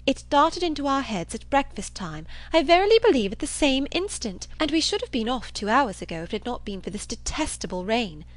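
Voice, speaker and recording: A woman's voice: sits high, very animated, a little fast, few pauses, pitched above the performer's own voice. A woman voicing a gushing, theatrical young lady who is effusive and pushy, in a storybook reading. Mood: ecstatic. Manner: effusive, exaggerated endearments.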